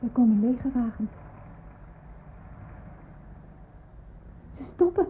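A woman's voice: a little high, expressive, quick pace, natural voice. Thin, noisy recording of a woman playing an anxious young woman. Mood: tense, distraught. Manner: hesitant, hushed, often near whispering. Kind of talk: radio drama acting with hushed inner monologue. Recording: thin phone-like band, noisy old radio play.

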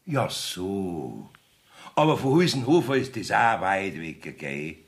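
A man's voice: deep voice, big pitch swings, slow, creaky, heavily acted. An actor playing a wily, evasive village judge in a Bavarian dialect radio play, blustering and wheedling as he rambles. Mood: wheedling, veiled menace.

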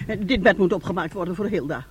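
A woman's voice: fairly low pitch, fast, slight background noise, natural voice. An actress playing a stern, exasperated middle-aged mother in a radio play, scolding and lecturing. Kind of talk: Dutch radio play acting.

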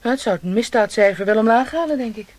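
A woman playing a brisk police inspector in a naturalistic radio drama, matter-of-fact and conversational. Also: a little high, expressive, a little mellow. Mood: wry, chatty. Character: brisk female police inspector, married, living in countryside.

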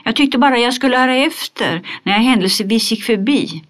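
A woman's voice: fairly high pitch, expressive, fairly bright tone, voice pushed up. A woman voicing a bold, cheerful young girl who talks eagerly and with great self-assurance in a storybook reading. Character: bold cheerful girl, red-haired, full of tall tales.

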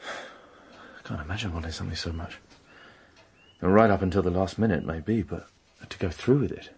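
A man's voice: sits low, normal speed, creaky, a little mellow, husky, full character voice. An actor playing an earnest, troubled young man in a radio drama, speaking with urgent insistence. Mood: troubled, searching.

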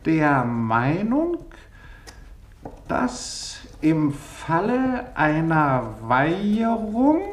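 A condescending male office clerk in a classic radio drama. He speaks very slowly and pompously. The room is echoey, with faint noise behind him. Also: fairly high pitch, exaggerated voice. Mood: pompous, absorbed. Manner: pompous, dismissive, drawling. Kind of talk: classic German radio play acting.

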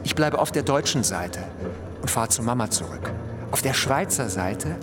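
A man playing a caring, worried father in a radio drama, speaking earnestly and gently to his child. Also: mid-range voice, animated, normal speed, lightly acted. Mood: urgent, reassuring. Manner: tender, earnest, instructing. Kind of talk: German radio drama acting. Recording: loud train-ride noise behind.

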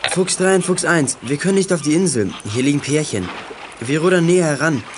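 A male actor playing a level-headed boy detective in a children's radio play, speaking in crisp radio procedure. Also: a little high, lively intonation, fairly quick, slight background noise, natural voice. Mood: businesslike.

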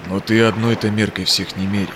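Actor as low-voiced devout Cossack explorer. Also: male voice, flat delivery, brisk, noisy background, lightly acted. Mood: solemn, calm. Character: steadfast, devout Russian Cossack explorer, grown man. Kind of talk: rhymed verse audio-play acting.

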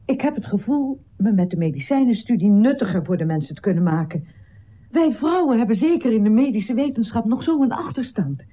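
An actress playing an earnest, determined nineteenth-century woman doctor and pioneering feminist in a radio play, speaking formally and deliberately. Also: fairly high pitch, sing-song, dark tone, low-quality recording.

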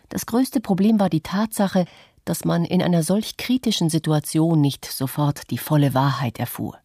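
A woman reading the part of an earnest, resolute citizen in a scripted radio documentary, with a husky voice. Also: low voice, dark tone, no echo, clean studio sound, exaggerated voice. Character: earnest, resolute citizen critical of nuclear power. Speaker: reader of a witness statement.

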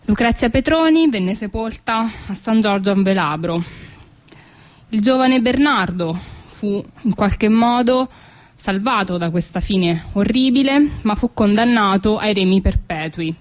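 A woman storyteller narrating historical events aloud, measured and phrase by phrase, with pauses. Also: mid-range voice, animated.

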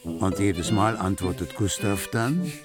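An actor playing a wry, measured storyteller, narrating a literary radio drama with deliberate phrasing. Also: male voice, on the low side, lively intonation. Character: wry, measured male storyteller of a city night tale. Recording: prominent music behind the voice.

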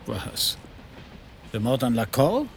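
An actor playing a world-weary private detective in a German radio crime drama, terse and gravelly, sometimes hushed. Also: male voice, medium pitch, sing-song, slow, a little hiss or hum. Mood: confrontational. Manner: terse, laconic. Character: world-weary male private detective, hard-boiled narrator. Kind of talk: German radio crime drama, first-person noir narration and dialogue.

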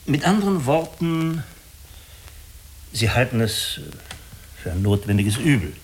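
A man playing a diplomatic, persuasive agency official in a German radio drama, speaking formally and deliberately with small hesitant pauses. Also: mid-range voice, big pitch swings. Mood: probing, smooth. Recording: clearly hissy radio recording.

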